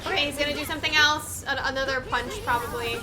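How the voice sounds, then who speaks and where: A woman's voice: high voice, steady tone, fast talker. A woman giving casual, informal, mildly sweary commentary while she plays a video game. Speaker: let's player. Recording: game music behind voice. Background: video game music and battle audio.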